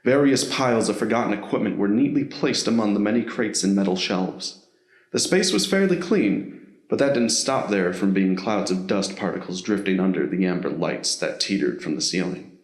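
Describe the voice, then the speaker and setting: A man narrates a scripted story in a fairly low voice. He reads as the narrator of an audio drama, and the recording has a noticeable roomy echo. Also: expressive, moderate pace.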